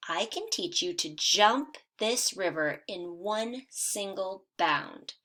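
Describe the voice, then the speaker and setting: A woman doing a calm old ninja master. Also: medium pitch, slow, heavily acted.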